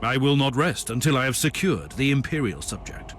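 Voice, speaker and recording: A man's voice: medium pitch, animated, brisk, a bit bright, slight background noise, close to an everyday voice. A man playing a stern, ruthless human inquisitor in a video game, with a blunt, authoritative delivery. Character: stern, commanding male human Inquisitor psyker, ruthless investigator. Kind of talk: dramatic video game acting.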